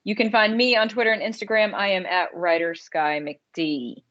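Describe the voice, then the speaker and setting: A woman's voice: mid-range voice, fairly even intonation, moderate pace, fairly bright tone. A woman co-hosting a podcast, chatting casually about films in an emphatic way, repeating words for stress. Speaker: podcast co-host. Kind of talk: casual movie review chat. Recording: dull lo-fi audio.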